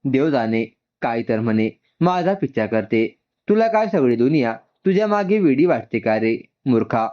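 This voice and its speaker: A man's voice: medium pitch, sing-song, normal speed, close to an everyday voice. Man playing a feisty, grumbling young woman. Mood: angry muttering. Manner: grumbling, scolding. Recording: dull, muffled lo-fi sound.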